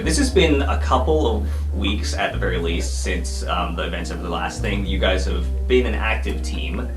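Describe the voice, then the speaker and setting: A man acting as game master, improvising narration for a tabletop roleplaying game. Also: on the low side. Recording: roomy sound, music bed underneath. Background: music.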